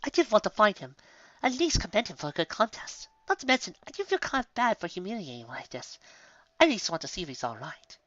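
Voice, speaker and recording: A man's voice: sits high, sing-song, quick pace, fairly bright tone, no room sound, lo-fi sound, pitched above the performer's own voice. A man voicing a refined, coy female unicorn in a genteel, affected manner for a story. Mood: polite, concerned.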